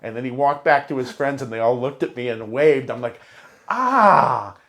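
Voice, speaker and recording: A man's voice: mid-range voice, steady tone, a little fast. A man in a casual conversation, telling stories as an interview guest and acting out the remarks he quotes. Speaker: interview guest, long-time idol fan. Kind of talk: casual chat, storytelling.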